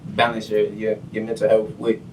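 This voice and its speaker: A man's voice: lowish voice, completely flat delivery, moderate pace, recorded from a distance, slight background noise, rough recording. Laid-back young man hosting a chat. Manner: laid-back, frequent fillers like "you know what I'm saying". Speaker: podcast host. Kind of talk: casual interview chat.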